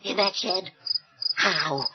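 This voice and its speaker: An actor voicing a nosy, excitable woman with a prying, melodramatic, drawn-out delivery in a comedy audio drama. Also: lowish voice. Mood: nosy, shocked. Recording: muffled lo-fi, loud cricket-like night noise.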